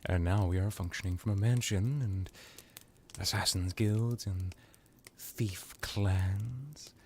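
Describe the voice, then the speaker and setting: A man voicing an ancient, smug vampire crime lord in an ASMR roleplay, drawling with theatrical pauses and sarcastic condescension. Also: deep voice, darkish tone, slight background noise. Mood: boastful.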